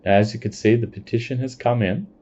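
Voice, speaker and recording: A man giving a plain, step-by-step screencast walkthrough of software. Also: mid-range voice, steady tone. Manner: plain step-by-step explaining, unhurried. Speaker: software demonstrator. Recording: dull lo-fi recording.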